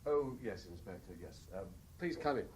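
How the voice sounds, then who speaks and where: An actor playing a troubled, guilt-ridden investigator in a 1970s radio drama, with a brooding, hesitant manner. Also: male voice, fairly low pitch, expressive, normal speed, darkish tone, a little hiss or hum, lightly acted, low-quality recording. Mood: guarded. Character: troubled, haunted male French private investigator, guilt-ridden and weary. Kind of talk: 1970s radio drama acting, with narrated diary passages.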